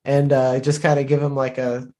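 A man playing a tabletop roleplaying game, casually describing his character's actions in a halting, hesitant way. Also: mid-range voice, completely flat delivery, dark tone. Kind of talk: casual improvised roleplay narration.

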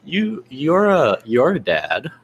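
A man's voice: medium pitch, wildly swooping pitch, slow pace, creaky, lo-fi sound, a little hiss or hum. A man co-hosting a podcast in casual chat, teasing his partner and laughing often. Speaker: podcast co-host.

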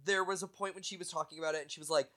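A man co-hosting a podcast, chatting casually and acting out quotes with quick quips. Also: a little high. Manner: animated, acts out quotes, quips. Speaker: podcast co-host. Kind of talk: casual chat.

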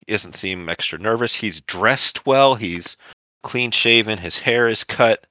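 A man's voice: on the low side, measured pace, sharp tone, dry recording, highs cut off. A man narrating a tabletop roleplaying game as the game master, setting scenes and calling for rolls.

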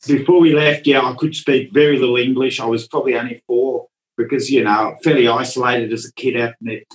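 A man's voice: mid-range voice, fairly even intonation, fairly quick, low-quality recording. Rambling man in his fifties. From Australia. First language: Dutch. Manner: rambling, hesitant, often starts with "look". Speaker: guest painter. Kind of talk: casual interview chat.

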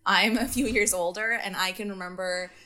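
A woman's voice: medium pitch, steady tone, moderate pace, bright, forceful tone. A young woman, a pianist, answering interview questions in a reminiscing, storytelling way. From Canada. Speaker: guest pianist.

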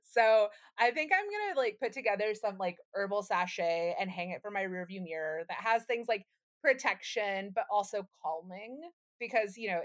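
A woman co-hosting a podcast in casual, slangy chat, irreverent and freely swearing. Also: medium pitch. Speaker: podcast co-host.

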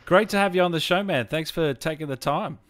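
A man's voice: on the high side, brisk, a bit bright. A man hosting a casual interview, asking friendly, upbeat questions. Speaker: host.

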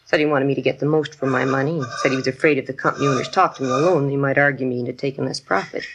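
A woman's voice: a bass voice, steady tone, rapid-fire, slight background noise. An American actress playing a flustered, genteel, trusting woman shopkeeper in a 1950s radio drama, polite and fretful. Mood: trusting, troubled.

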